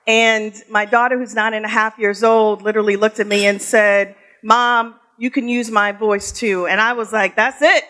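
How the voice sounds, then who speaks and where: A bright, somewhat high-voiced woman moderating a live panel. She speaks to the room in an upbeat, folksy way and warmly greets the audience. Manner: lively, upbeat and folksy, addresses the room as y'all.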